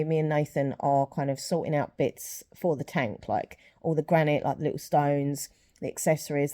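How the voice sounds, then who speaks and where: A woman's voice: low voice, mellow. A young woman chatting casually in a rambling vlog, a little sniffly with a sore throat. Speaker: vlogger.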